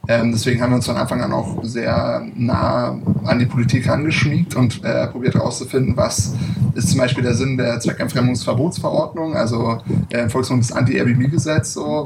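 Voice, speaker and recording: Gravelly man, distant and noisy. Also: medium pitch. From Berlin, Germany. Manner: relaxed, hesitant, many fillers. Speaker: startup founder, guest. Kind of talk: casual interview talk. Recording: distant mic with loud background noise.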